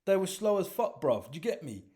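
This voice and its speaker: A man's voice: high voice, fairly quick, pitched above the performer's own voice. A man doing a cocky young lad. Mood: boastful. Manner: streetwise slang, excited. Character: young adult male cockney lad, cocky.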